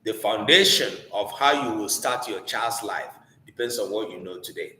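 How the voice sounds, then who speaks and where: A man's voice: lowish voice, moderate pace, bright, forceful tone, a little hiss or hum. Echoey Nigerian Igbo man teaching. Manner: earnest, exhorting, with rhetorical questions. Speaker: Igbo language teacher hosting a live online class. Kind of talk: informal live teaching, switching between English and Igbo. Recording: echoey room with long reverb.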